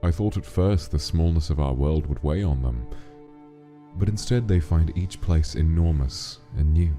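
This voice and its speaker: An actor voicing a big, gentle, fatherly monster king who muses solemnly and tenderly in a comic dub. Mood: pensive. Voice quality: deep, mellow. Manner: measured, musing, tender. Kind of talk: scripted narration in a comic dub. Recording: music behind the voice.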